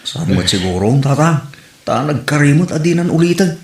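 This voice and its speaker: An actor playing a jealous young husband in an audio drama, questioning and grumbling. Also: male voice, a little high, very animated, a little fast, a little mellow. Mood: uneasy. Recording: echoey room recording.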